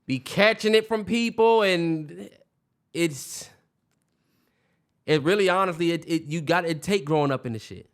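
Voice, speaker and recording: Young man, podcast co-host, rambling, profane, restarts a lot, slightly high voice. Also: measured pace, pauses often. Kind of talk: casual chat.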